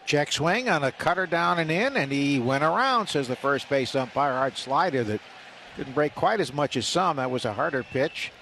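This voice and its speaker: A man's voice: fairly high pitch, expressive, normal speed, fairly bright tone. An older man giving live radio play-by-play of a baseball game as the announcer. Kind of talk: live sports commentary. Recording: faint stadium ambience noise behind. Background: ballpark ambience.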